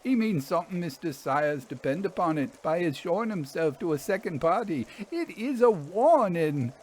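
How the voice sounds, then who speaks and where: A man's voice: sits high, sing-song, moderate pace, a little mellow, slight background noise, voice pushed up. Guy doing a spooked old-time train driver, raised voice, bitter and insistent, ghost story reading. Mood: haunted, insistent. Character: haunted, agitated working-class male engine driver, bitter and fatalistic.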